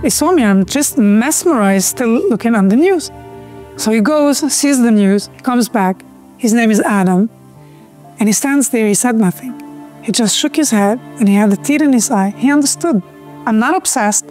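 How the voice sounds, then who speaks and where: A middle-aged woman with a Lebanese accent, telling her personal story as documentary narration in an earnest, deliberate way. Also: on the high side, expressive, a little mellow, faint music behind. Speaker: activist and social media creator.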